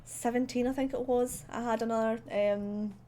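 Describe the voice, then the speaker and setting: A woman's voice: a little high, steady tone, moderate pace, mellow. Rambling Scottish woman streaming from her desk. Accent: Scottish, central belt. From Scotland. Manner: rambling and chatty, with many fillers and laughs. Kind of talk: casual livestream chat while drawing. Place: home desk. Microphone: desk microphone. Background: faint background music.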